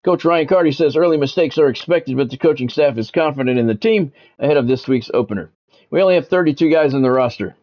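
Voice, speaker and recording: A man's voice: fairly high pitch, fast, darkish tone. An American man chatting casually and doing sports commentary as a game streamer, informal and wry with a self-deprecating streak. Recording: dull, lo-fi microphone sound.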